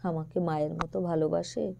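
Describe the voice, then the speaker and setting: A woman voicing a tender, worried older aunt in an audio story, speaking softly and pleadingly. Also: on the low side, flat delivery, normal speed, vocal fry, slight background noise, pitched below the performer's own voice.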